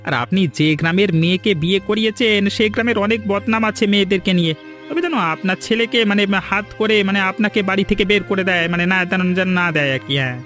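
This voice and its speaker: A meddling cartoon shopkeeper, acted out by a man, with a very high male voice. He wheedles and insinuates at a fast clip, with music behind him. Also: fairly bright tone. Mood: sly, insinuating. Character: meddling gossipy village shopkeeper stirring family trouble. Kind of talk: animated cartoon story dialogue.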